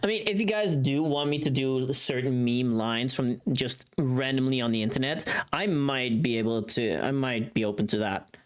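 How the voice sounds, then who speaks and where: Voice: medium pitch, moderate pace. A livestream host chatting casually, rambling and thinking aloud to themselves.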